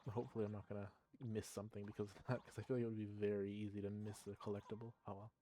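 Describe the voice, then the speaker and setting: A man's voice: lowish voice, little pitch movement, racing, warm tone. Musing man narrating his game. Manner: musing, thinks aloud with restarts. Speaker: let's play gamer. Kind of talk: casual game commentary.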